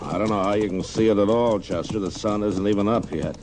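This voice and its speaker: An actor playing a dry, gruff American frontier lawman in an old radio Western. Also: lowish voice, fairly quick, close to an everyday voice. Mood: wry, grumbling. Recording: noisy old-time radio recording.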